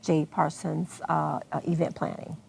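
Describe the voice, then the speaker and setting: A woman's voice: low voice, flat delivery, a little slow, gravelly, a little mellow, slight background noise. An American woman answering questions as a guest organizer in an unscripted television interview. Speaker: guest, arts festival organizer.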